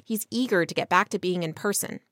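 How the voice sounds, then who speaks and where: A woman's voice: fairly low pitch, brisk, fairly bright tone, no echo, clean studio sound. A woman reporting a scripted radio news feature as narrator.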